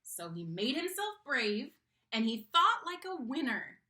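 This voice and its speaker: A woman with a high, bright voice reads a picture book aloud to children. She takes her time and is theatrical and engaging, with pitch that moves in big lively swings. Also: measured pace. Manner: theatrical, engaging, asks listeners questions. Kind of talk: expressive children's read-aloud.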